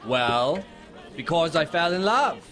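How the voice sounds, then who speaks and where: A man playing a cheerful, warm-hearted young nephew with a British accent, earnest and pleading, in an amateur audio drama. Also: a little high, very animated, slow pace, faint music behind, heavily acted. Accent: put-on British.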